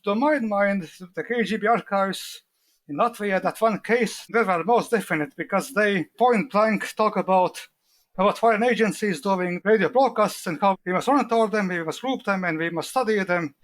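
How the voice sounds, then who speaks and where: High-pitched man, non-native English speaker. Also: normal speed. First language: not English. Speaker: guest expert, historian. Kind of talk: explanatory interview answers.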